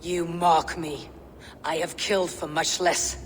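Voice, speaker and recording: A woman's voice: fairly low pitch, little pitch movement, measured pace, lightly acted. Actress as blunt, distrustful Norse warrior woman, solemn game-cutscene delivery, slight background noise. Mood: angry, threatening. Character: hard, suspicious female Viking warrior. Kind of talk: video game cutscene acting.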